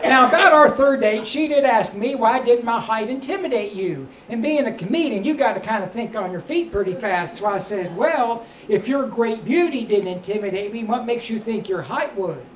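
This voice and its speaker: A man's voice: very high-pitched, lively intonation, moderate pace, hardly any pauses. A middle-aged man performing stand-up comedy into a handheld mic, telling stories and addressing the room. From Kentucky, United States. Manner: storytelling delivery, projected to the room. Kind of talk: stand-up comedy routine with crowd work. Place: small comedy club stage, indoors. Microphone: handheld microphone. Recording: echoey club stage, clearly noisy.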